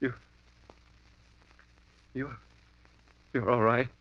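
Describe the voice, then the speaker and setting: A man's voice: medium pitch, fairly even intonation, extremely slow. An actor playing a distraught, insistent man in an alcoholic ward in a 1950s radio drama. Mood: anguished. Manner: desperate, insistent. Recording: dull lo-fi 1950s radio broadcast.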